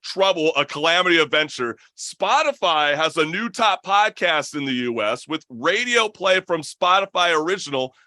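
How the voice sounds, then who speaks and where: Bright, high-voiced young man hosting. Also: animated, moderate pace, few pauses, no room sound, clean studio sound. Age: young adult. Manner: enthusiastic, effusive. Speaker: talk show host. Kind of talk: casual interview chat.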